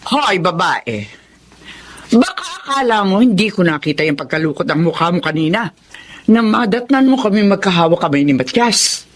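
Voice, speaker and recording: A Filipino woman playing a flirty, nosy, sharp-tongued middle-aged mother in a radio drama. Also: fairly low pitch, animated, normal speed. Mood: scornful.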